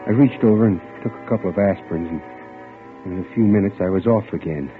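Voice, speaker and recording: A man's voice: low-pitched, quick pace, lightly acted. An actor playing an earnest, lovesick young man in an old-time radio drama, hesitant and repeating himself. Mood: wry narration. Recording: muffled vintage radio recording with music.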